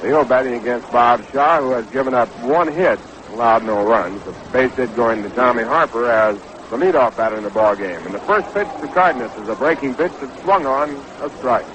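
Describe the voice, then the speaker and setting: A man doing measured, unhurried live baseball play-by-play commentary on a 1960s radio broadcast. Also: mid-range voice, noisy background. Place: stadium broadcast booth.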